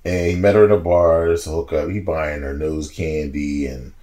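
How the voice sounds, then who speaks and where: A man in his forties, a podcast guest, telling stories in a casual, slangy, animated way and laughing as he goes. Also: extremely low pitch, normal speed. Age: 40s. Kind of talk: casual storytelling chat.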